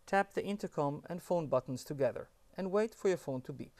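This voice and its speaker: A man's voice: fairly high pitch, expressive, a little fast, noisy background. A man giving scripted step-by-step instructions as an upbeat tutorial presenter.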